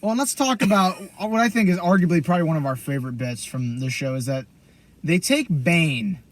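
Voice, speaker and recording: A man's voice: on the high side, animated, a little fast. A man from Pennsylvania with an American accent, co-hosting a podcast in casual pop-culture chat. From Pennsylvania, United States. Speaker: podcast co-host.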